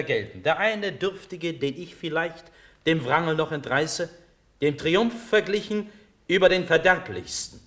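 An actor playing a young, nobly resolute prince in a classical radio drama, declaiming solemnly in measured verse. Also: male voice, a little high, fairly bright tone.

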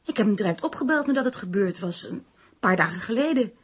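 An actress in a Dutch radio play playing a concerned, gossipy woman who confides dramatically in her friend, with a husky voice. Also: medium pitch, lively intonation, fast talker. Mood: agitated, probing. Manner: dramatic, lingering over grim news.

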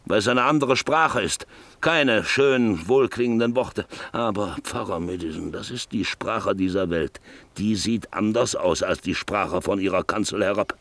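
Low-voiced, brusque scheming landowner. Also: male voice, moderate pace, a bit bright, dry recording. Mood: calculating, pressing. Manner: brusque, sardonic, persuasive. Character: hard, scheming landowner and father, scornful businessman. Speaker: radio drama actor. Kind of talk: German radio play acting.

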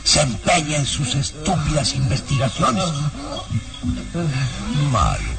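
An actor playing a sinister old baron in a radio serial, speaking with deliberate, mocking menace. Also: male voice, on the high side, wildly swooping pitch, creaky, far from the mic, full character voice. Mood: mocking, scornful. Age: older. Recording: radio drama with loud music behind. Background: loud dramatic music.

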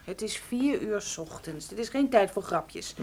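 A woman's voice: medium pitch, lively intonation, moderate pace, a little mellow, natural voice. An actress playing a detective's anxious, candid female companion in radio play dialogue. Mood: reproachful. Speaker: radio drama actor.

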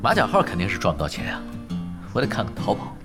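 Nonchalant, money-minded young pro gamer, hugely swooping delivery over music. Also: male voice, on the low side, huge pitch swings, quick pace. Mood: playful scheming. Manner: nonchalant, teasing. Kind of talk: Chinese audio drama acting. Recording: music behind the voice.

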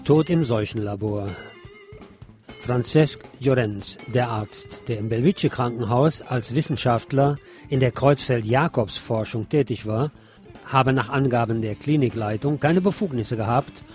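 A man's voice: medium pitch, unhurried, a little mellow. Man reading radio news over faint music. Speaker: radio host.

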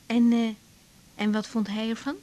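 A woman's voice: fairly high pitch, level tone, moderate pace, dark tone, close to an everyday voice. An actress playing a frank young woman doctor in a naturalistic Dutch radio drama, hesitant and informal in her speech. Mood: warm, hesitant. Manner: hesitant, repeating words, informal. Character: young woman doctor, frank, caring but easily thrown.

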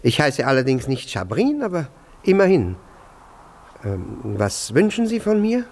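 An actor in a radio play playing a nervous, pompous senior civil servant who stammers and repeats himself in formal speech. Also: male voice, mid-range voice, huge pitch swings, a little slow, darkish tone, full character voice. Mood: nervously polite. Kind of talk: German radio play acting.

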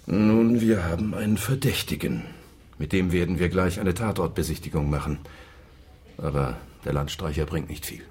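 Deep, dry police lieutenant. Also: male voice, moderate pace, darkish tone, breathy edge, exaggerated voice. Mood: wry, guarded. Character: dry, sardonic small-town police lieutenant. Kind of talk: radio crime drama acting.

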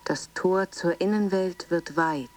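An actress playing a soothing female motivational fitness coach, giving measured instructions in a radio drama. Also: fairly low pitch, darkish tone, slight background noise, lightly acted. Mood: soothing, instructive. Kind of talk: scripted guided relaxation and coaching slogans.

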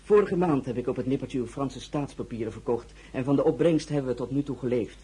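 A very husky, careful household steward in a radio drama. He speaks at a middling pitch with measured, polite deference, like a bookkeeper reporting the facts. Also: warm tone. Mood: apologetic, factual. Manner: polite, matter-of-fact, measured.

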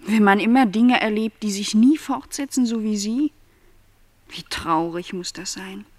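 An actress playing a lonely, discontented young housemaid in a literary radio play, plaintive and questioning in formal wording. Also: female voice, mid-range voice, unhurried, a little mellow, dry recording, close to an everyday voice. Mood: doubting.

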